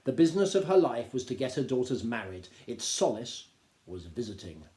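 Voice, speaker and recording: A man reading a classic novel aloud as an expressive literary narrator. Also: medium pitch, brisk, heavy background noise. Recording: roomy, echoing indoor sound.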